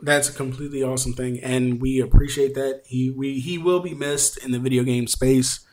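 A man's voice: mid-range voice, steady tone, normal speed, darkish tone. A man hosting a podcast, talking casually and informally in colloquial slang. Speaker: podcast host.

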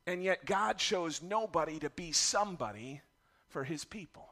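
A middle-aged man preaching a sermon as pastor, his voice raspy from yelling, candid and self-deprecating about his family struggles. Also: a little high, expressive, normal speed.

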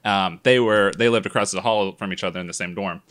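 A man's voice: on the low side, quick pace, fairly bright tone. A man co-hosting a podcast in casual banter, crude and joking, who laughs hard at his own jokes. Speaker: podcast co-host.

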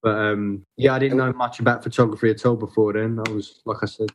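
A man's voice: lowish voice, steady tone, fast, a little hiss or hum. A man talking as a photographer guest in a casual podcast chat, hesitant with many fillers and restarts.

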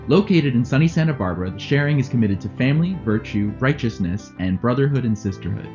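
A man's voice: medium pitch, darkish tone, natural voice. A man playing a solemn, inviting announcer for a sinister brotherhood in a scripted parody advertisement.

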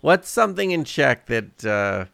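Easygoing male co-host, gravelly voice, dry close sound, casual chat. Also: medium pitch, big pitch swings, a little slow, pushed and bright, no echo. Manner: easygoing, agreeable. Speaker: podcast co-host.

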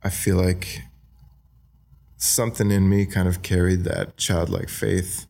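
A young man from Australia with an American accent, talking softly and hesitantly as a podcast guest, with many fillers. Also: sits low, level tone, measured pace, vocal fry. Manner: soft-spoken, hesitant, many ums and likes. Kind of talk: casual interview talk.

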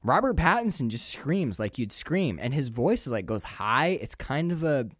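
A man co-hosting a casual film podcast. He talks excitably, swears freely, often laughs mid-sentence, and his voice jumps up high when he gets worked up. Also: a little slow, no echo, clean studio sound. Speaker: podcast co-host. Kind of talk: casual film-discussion chat.

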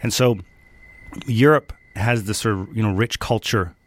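A man from Denmark, a guest in an interview, answering thoughtfully with many pauses and fillers. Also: on the low side, no room sound.

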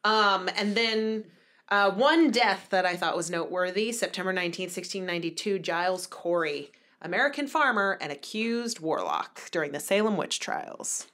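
A woman in her thirties leads a relaxed podcast chat with her mother and reads anniversary dates and birthdays with bright emphasis. Her pitch sits in the middle of the usual range for a woman. She talks in an easy, chatty way. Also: moderate pace. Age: 30s. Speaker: podcast co-host. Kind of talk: casual chat.

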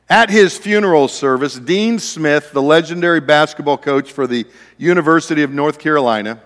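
A man with a loud, slightly high voice preaches in a relaxed, conversational way, poking fun at himself as he goes. His words ring out with a long echo, as in a large sanctuary. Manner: conversational preaching with self-deprecating humor. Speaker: pastor. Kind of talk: sermon.

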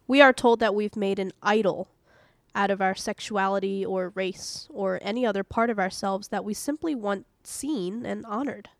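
Calm woman reading a devotional. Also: medium pitch, normal speed, no room sound, clean studio sound. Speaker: devotional podcast host and reader.

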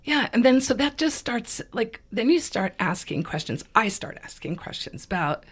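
A woman co-hosting a podcast, hoarse and raspy from laryngitis, thinking aloud in a rambling, casual way. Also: a little high, sing-song, normal speed. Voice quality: raspy, froggy voice. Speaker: podcast co-host. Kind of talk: casual conversation.

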